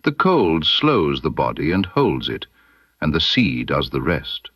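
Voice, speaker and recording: A deep-voiced man narrates a literary detective tale in a measured, formal way. His pitch moves expressively through the long scripted sentences, and the recording is dry and close. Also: huge pitch swings, fairly bright tone, no room sound. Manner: measured, formal storytelling delivery. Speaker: audiobook narrator. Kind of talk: scripted literary mystery narration in Victorian first person.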